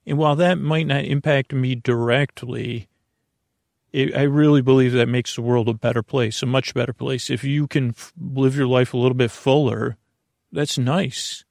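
Creaky-voiced man rambling at bedtime. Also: medium pitch, fairly even intonation, darkish tone, no room sound, clean studio sound. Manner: meandering, halting, trailing off mid-thought. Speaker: podcast host. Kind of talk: rambling bedtime monologue meant to lull listeners to sleep.

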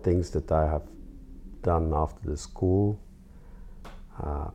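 A man in his forties with a Finnish accent and an extremely deep, mellow voice, over faint noise. He talks casually as an interview guest, in hesitant, halting phrases. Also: gravelly. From Finland. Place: artist's studio.

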